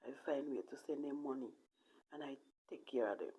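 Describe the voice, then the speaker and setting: A woman with a Jamaican accent telling a personal story casually in patois, in a halting, emphatic way that repeats phrases. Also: extremely low pitch, dark tone, breathy edge, poor audio. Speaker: vlogger telling own life story.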